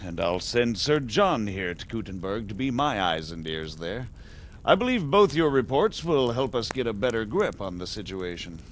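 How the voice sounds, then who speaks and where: A scheming medieval margrave in a game drama. His pitch swings widely as he argues with aristocratic, rhetorical emphasis, over a faint low rumble. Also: sing-song, normal speed. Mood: approving. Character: shrewd scheming medieval margrave, persuasive and impatient. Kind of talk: dramatic game cutscene acting.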